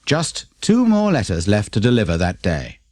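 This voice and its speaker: A man's voice: fairly low pitch, huge pitch swings, moderate pace, a little mellow, no room sound, studio quality. A man with a British accent reading a children's story expressively as an audiobook narrator.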